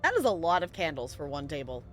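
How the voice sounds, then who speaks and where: A woman's voice: low voice, very animated, fast, faint music behind. A woman streamer chatting playfully and rambling through casual live commentary on a game.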